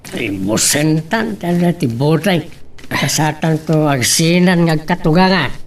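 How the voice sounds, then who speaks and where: A woman's voice: low-pitched, animated, unhurried, recorded from a distance. A woman with an Ilocano accent voicing a tipsy older uncle in an audio drama.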